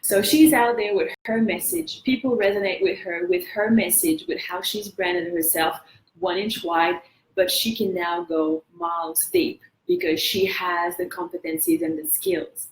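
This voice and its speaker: A woman's voice: medium pitch, expressive, a little slow, echoey room, rough recording. A woman with a French accent hosting a live coaching session, talking earnestly and encouraging viewers to share their feedback. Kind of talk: motivational live-stream talk.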